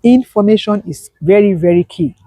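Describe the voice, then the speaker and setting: A woman's voice: mid-range voice, normal speed, warm tone, no echo. A woman, a business owner, speaking as an interview guest and emphatically repeating her key points. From Nigeria. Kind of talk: conversational interview.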